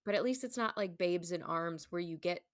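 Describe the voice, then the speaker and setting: A woman's voice: on the low side, brisk, no room sound. Chatty woman, close dry sound. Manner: chatty, emphatic, frequent "like". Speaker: podcast guest, stage performer. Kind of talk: casual chat.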